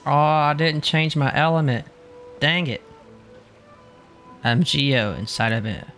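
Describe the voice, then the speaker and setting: A man's voice: fairly high pitch, takes it slowly, fairly bright tone, faint music behind. A young man giving casual, slangy commentary as he streams a video game. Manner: slangy, informal.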